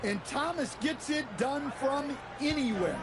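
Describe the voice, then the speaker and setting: A man voicing an excitable basketball analyst doing color commentary in a video game. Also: very high voice, measured pace, a loud crowd. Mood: admiring. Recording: heavy game arena noise.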